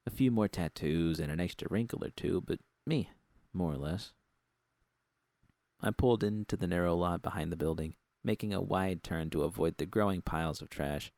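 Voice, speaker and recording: A man's voice: sits low, a little mellow, no echo. A man reading a first-person story as an audiobook narrator, in a scripted literary style.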